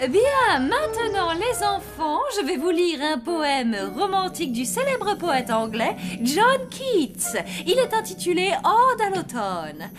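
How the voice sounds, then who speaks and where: A prim cartoon lady. Her voice is very high, with lively intonation. She is polite and a little precious, with loud music behind her. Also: slow pace, heavily acted. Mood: prim, lyrical. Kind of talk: French cartoon dubbing.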